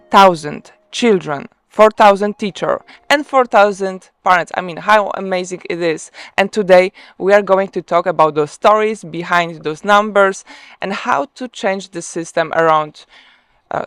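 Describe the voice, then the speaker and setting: A woman from Poland with a Polish accent, hosting a live online interview and speaking hesitantly with many pauses. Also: medium pitch, clean tone, no room sound. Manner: hesitant, many ums and restarts. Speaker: host.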